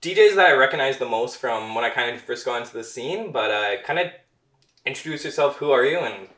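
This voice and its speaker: A man's voice: medium pitch, expressive, brisk, a bit bright, reverberant. A man hosting a podcast, talking in an easygoing, friendly way. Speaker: podcast host. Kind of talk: casual podcast chat.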